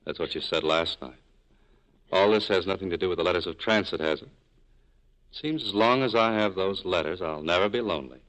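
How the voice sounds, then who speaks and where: A very low-voiced, bitter man of the world, running a cafe and guarding old wounds. He talks in terse, sardonic lines in the manner of a 1940s radio play. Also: fairly quick, a bit bright, rough recording. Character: bitter, cynical cafe owner, hard-shelled former lover. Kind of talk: 1940s radio drama acting.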